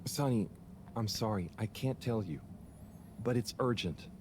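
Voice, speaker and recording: A man's voice: on the low side, animated, a little slow. A man voicing a hesitant, anxious young game character, with faint noise behind.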